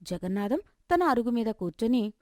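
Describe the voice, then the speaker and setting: A woman's voice: on the high side, big pitch swings, normal speed, a little mellow. A woman narrating a scripted folk story.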